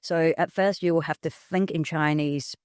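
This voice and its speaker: A woman, talking candidly as an interview guest with quick self-deprecating asides. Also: low voice, fairly even intonation, a little mellow, clean tone, no room sound, studio quality. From Hong Kong. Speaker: guest, prosthodontist. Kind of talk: casual interview conversation.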